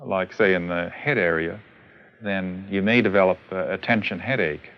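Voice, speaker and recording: A man with a lowish voice explains his work informally and haltingly. He talks slowly, recorded on a muffled old film soundtrack. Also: slight background noise. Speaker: biofeedback researcher. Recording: dull 1970s film soundtrack.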